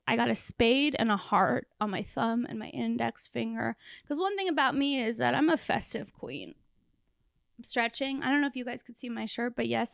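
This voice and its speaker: A young woman with a hoarse, tired, gravelly voice, riffing casually and bluntly as a solo comedy podcast host, close on a handheld microphone. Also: on the high side, no room sound. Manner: blunt, sweary, joking. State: hoarse, worn-out voice after a festival; exhausted. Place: small plain room indoors. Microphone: handheld microphone held close.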